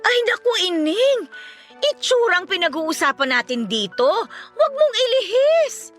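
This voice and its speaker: A woman playing a sharp-tongued, bossy, gossipy aunt in a Filipino radio drama, exclaiming bluntly with a Filipino accent. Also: very high voice, big pitch swings, unhurried, faint music behind, exaggerated voice. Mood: mocking, gossipy.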